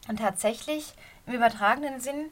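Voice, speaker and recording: Hoarse woman with a cold, encouraging. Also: on the high side, brisk, low-quality recording. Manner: earnest, encouraging, talks straight to the listener. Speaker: running coach and podcast host. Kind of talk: casual podcast monologue. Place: quiet corner of a bedroom at home.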